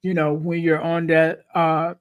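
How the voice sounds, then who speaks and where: Podcast host, man in his late forties, lighter and higher voice than most men, easygoing and meandering. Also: completely flat delivery, measured pace, clean tone. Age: 40s. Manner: rambling, heavy on "you know". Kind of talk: casual interview chat.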